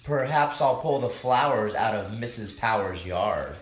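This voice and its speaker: A man voicing a gentle, earnest, too-nice hairy monster from a children's storybook. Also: lowish voice, animated, unhurried. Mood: scheming. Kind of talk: children's storybook character reading. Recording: echoey room with background noise.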